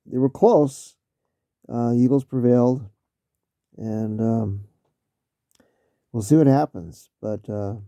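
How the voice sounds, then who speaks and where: A man with a very dark, gravelly voice talks football in a slow, halting ramble full of fillers and restarts. His voice is going, so he keeps stopping to clear his throat. The sound is dry and a little dull, like a cheap home mic. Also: medium pitch, no echo. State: losing his voice. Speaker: vlogger talking football. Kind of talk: casual spoken commentary.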